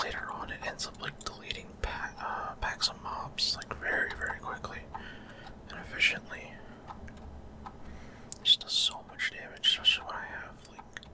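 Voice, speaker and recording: Male ASMR gamer, fully whispered delivery, breathy, halting off-the-cuff explanations in short phrases. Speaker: gaming video creator. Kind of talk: whispered ASMR gameplay commentary.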